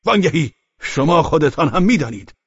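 A man voicing a brisk, commanding gentleman who speaks insistently and persuasively. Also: on the high side, big pitch swings, breathy edge, no room sound, highs cut off, voice pushed up, heavily acted.

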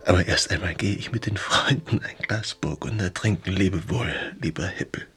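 An actor playing a melancholy, haunted writer confiding in a friend by letter in a German radio drama, intimate and brooding. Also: male voice, sits low, moderate pace, a bit bright. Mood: resigned, wistful.

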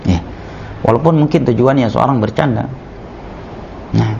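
Guy teaching a religious study class, gravelly voice, muffled lo-fi recording w/ a bit of hiss. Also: medium pitch, darkish tone. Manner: measured, repeats "ya" and "nah" as he explains. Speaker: Islamic teacher.

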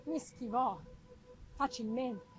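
A woman reads gothic fiction in a high voice. Her pace is very slow, with phrases broken for suspense. The narration is theatrical and literary. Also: music in the background, poor audio. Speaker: reader of a novel.

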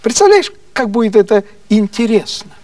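Older man putting on an eager, high-pitched coaxing man. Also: normal speed, no room sound, distant mic. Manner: insistent, coaxing, excited. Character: eager, wheedling male writer acquaintance, excited and scheming.

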